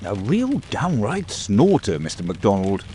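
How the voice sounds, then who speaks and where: A man's voice: fairly low pitch, wildly swooping pitch, measured pace, dark tone, a little hiss or hum. A man voicing a genial, eager provincial police detective in an audiobook drama, with a hearty, chatty manner. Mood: excited.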